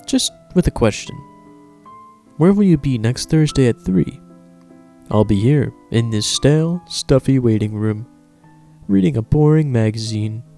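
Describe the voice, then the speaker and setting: Man as bored wry narrator, gravelly, dark mellow tone, dry theatrical radio-drama delivery. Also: medium pitch, animated, measured pace. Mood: dry, sardonic. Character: wry, bored male narrator stuck in waiting room. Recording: music bed under the voice.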